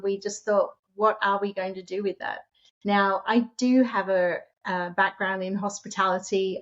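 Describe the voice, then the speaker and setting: Australian woman, middle-aged, chatty, laughs easy, kinda muffled lo-fi audio. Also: mid-range voice. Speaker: guest, short-term rental host and author. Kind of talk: casual interview storytelling.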